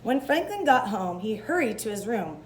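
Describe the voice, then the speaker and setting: High, lively woman reading a children's story. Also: moderate pace, a little hiss or hum, poor audio. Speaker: storybook reader. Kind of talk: expressive read-aloud of a children's story.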